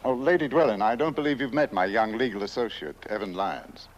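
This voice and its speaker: An actor playing a family solicitor, brisk and businesslike, with a formal manner. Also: male voice, lowish voice, soft background music, close to an everyday voice. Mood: polite. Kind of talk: 1970s horror comedy film acting. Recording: muffled, noisy old film soundtrack.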